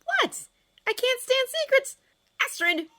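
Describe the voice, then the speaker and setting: A woman voicing a nervous, flustered teenage boy with a squeaky, stammering delivery in a story reading. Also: sing-song, takes it slowly, bright, forceful tone, exaggerated voice. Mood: panicked. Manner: stammering, spluttering.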